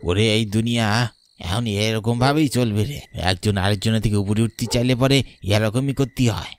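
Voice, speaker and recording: A man voicing a furtive rustic farmhand crook in a horror cartoon, low and conspiratorial, over music. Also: moderate pace, natural voice. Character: furtive male farmhand criminal burying a body.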